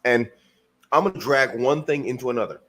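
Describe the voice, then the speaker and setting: A man's voice: medium pitch, a little fast, a bit bright. American guy on a podcast, pushy motivational talk, lots of "guess what" questions. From United States. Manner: emphatic, rhetorical questions. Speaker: podcast guest. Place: lives in Germany.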